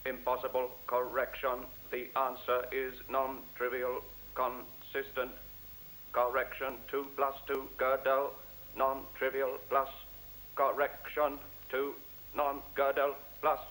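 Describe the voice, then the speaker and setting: Primitive, faltering computer: dead monotone, very deliberate, robotic, radio play. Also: male voice, medium pitch, poor audio. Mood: flat, malfunctioning. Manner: monotone, clipped, halting. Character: primitive, faltering, emotionless male-voiced computer.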